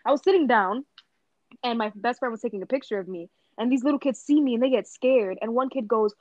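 A young woman on a casual podcast, telling excitable stories full of slang. Her voice sits a little high. The sound is close and dry. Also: brisk, no room sound, muffled recording. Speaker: podcast co-host. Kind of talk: casual chat.

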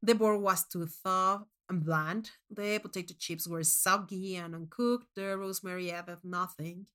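A woman reading first-person fiction as a scripted narration, in a measured, expressive storytelling manner. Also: fairly low pitch, clean tone. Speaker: short story reader.

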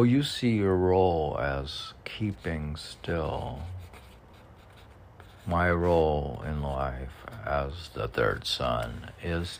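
Slow, gravelly low-voiced man. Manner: halting, muttering to himself, musing. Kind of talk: casual rambling talk while reading poems aloud.

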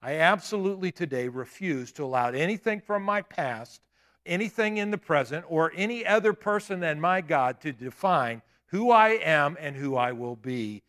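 A man in his late fifties preaching a sermon in a church, with emphatic declarations and quieter asides. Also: a little high, animated, moderate pace. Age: 50s. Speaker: pastor. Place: church sanctuary.

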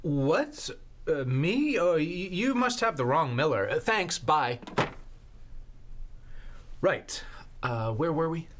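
A man's voice: fairly high pitch, expressive, slow, pauses often, noisy background. A man playing a nervous, evasive office worker, hesitant and flustered, in an audio drama. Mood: flustered, defensive. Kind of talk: scripted audio drama acting, naturalistic.

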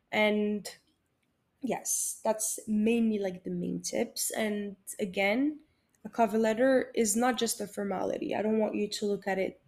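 A woman's voice: medium pitch, darkish tone. A woman from Morocco hosting a solo podcast, giving upbeat, motivational career advice in short, emphatic phrases. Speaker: podcast host and career coach.

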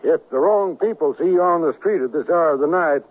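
An actor playing a stern, commanding and menacing secessionist leader in a radio drama, speaking with oratorical authority. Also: male voice, on the high side, fairly quick, breathy edge. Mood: conspiratorial. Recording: narrow-band vintage radio recording.

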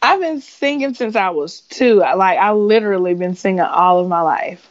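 A woman in her twenties, a singer being interviewed, chatting casually in a giggly, animated storytelling way. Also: medium pitch, normal speed, highs cut off. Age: 20s. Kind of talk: casual chat.

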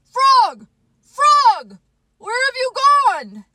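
A woman voicing an excitable, anxious, boastful male toad in a children's storybook, with an exclamatory, theatrical delivery. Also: sits high, takes it slowly, dry recording, far from the mic, voice pushed up, full character voice. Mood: frantic, pleading.

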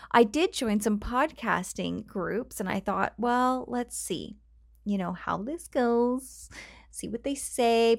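A woman's voice: medium pitch, creaky, dry recording. Woman, Canadian accent, casual podcast host, rambling, laughs a lot, cheap mic, dry sound. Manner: chatty, rambling, laughs often, goes off on tangents. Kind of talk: casual solo podcast chat. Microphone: cheap home microphone.

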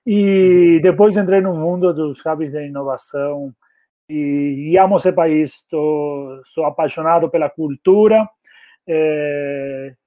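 Male podcast guest, Argentine accent, native Spanish speaker, fairly high voice, thin phone-like audio. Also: slow pace, dry recording. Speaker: podcast guest, community marketing specialist. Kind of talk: casual interview talk.

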